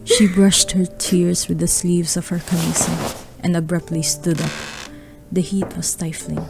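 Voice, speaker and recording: A low-voiced woman narrates a radio drama with noticeable creak in her voice. She reads literary story prose in a measured manner, with music playing clearly behind her. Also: flat delivery.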